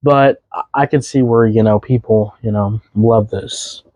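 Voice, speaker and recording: A young man hosting a casual music review podcast, his voice a bit hoarse, rambling loosely with fillers and restarts. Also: on the low side, fairly even intonation, measured pace, a little mellow, no room sound. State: voice slightly hoarse.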